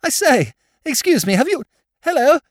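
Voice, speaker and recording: Giddy young aristocrat, high and breathless, read by a man doing the voice, swooping lively delivery. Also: normal speed, no echo, studio quality. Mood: excited. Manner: breathless, high-pitched, gushing upper-class chatter. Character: giddy weedy young English lord, male, twenties.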